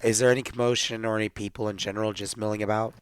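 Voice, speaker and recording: A man's voice: lowish voice, level tone, rapid-fire, smooth, dry recording. A man chatting casually as a player in a tabletop roleplaying game.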